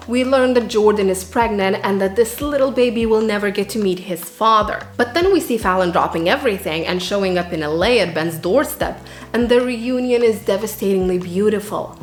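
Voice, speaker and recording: A woman narrating a scripted book review in an earnest way, with dramatic emphasis. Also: medium pitch. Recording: roomy echo, music underneath. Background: background music.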